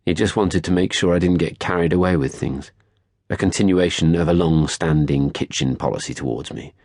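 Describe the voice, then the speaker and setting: A man narrates a novel in a low, husky voice, measured and wry. His delivery is articulate and literary, recorded very dry and close. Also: a little mellow, no room sound. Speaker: audiobook narrator. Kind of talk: scripted first-person literary narration.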